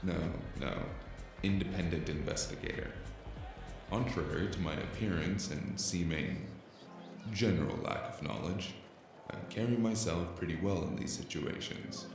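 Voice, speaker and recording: Man playing a very deep, gravelly noir investigator. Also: measured pace. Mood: guarded. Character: male freelance investigator, dry, weary, awkwardly flirtatious noir loner. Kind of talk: cyberpunk noir audio drama with first-person narration. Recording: music behind the voice.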